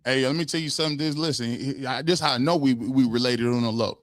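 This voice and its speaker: A man hosting a casual live-stream podcast, talking in an animated, slangy way with frequent laughs. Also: medium pitch, quick pace, no room sound, clean studio sound. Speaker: podcast host.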